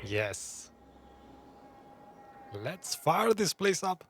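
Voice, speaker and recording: A man's voice: high voice, sing-song, drawn-out words, a little hiss or hum. A man giving casual live commentary as a game streamer. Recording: loud game music behind voice. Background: game music.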